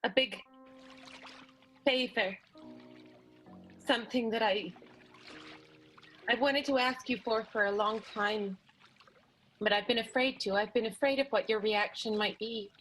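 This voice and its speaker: An actress playing a timid, adoring, melodramatic wife with a hesitant delivery in an improvised radio soap opera. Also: female voice, fairly high pitch, a little slow, pauses often, a bit bright, soft background music, rough recording. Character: breathlessly adoring, timid, dramatic wife of film star.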